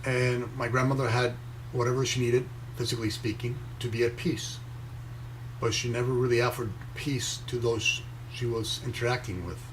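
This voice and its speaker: Man, raised in Venezuela, young adult, motivational reading, slow measured phrasing, mid-pitched voice, faint background hiss. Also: steady tone, fairly bright tone, rough recording. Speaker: inspirational speaker reading his own post. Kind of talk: reflective motivational reading and commentary.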